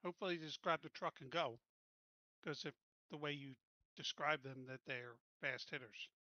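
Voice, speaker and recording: A man plans his moves in a tabletop game in casual talk. His voice is very bright and forceful and a bit high for a man. It sounds close and dry. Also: normal speed, no room sound, highs cut off.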